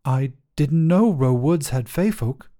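A man voicing a calm, curious and helpful woman hiker in a storybook narration, with a casual questioning manner. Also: a little high, slow pace.

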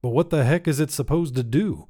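A man's voice: a little high, a little fast, dark tone, close to an everyday voice. A young grandson in a short story, read by a male narrator, worried and full of doubt. He speaks hesitantly, questioning what he is told. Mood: doubtful.

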